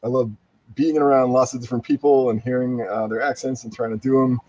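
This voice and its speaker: A man chatting casually as a guest, rambling through animated personal anecdotes, on muffled online-call audio. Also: mid-range voice, fast talker, no echo. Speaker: podcast guest, voice actor. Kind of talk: casual chat.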